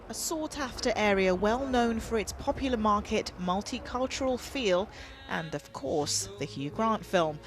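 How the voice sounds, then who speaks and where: A woman reporter tells a feature story in a measured, storytelling way. Her pitch is mid-range, with faint music underneath. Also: animated. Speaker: news reporter. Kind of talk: scripted news report.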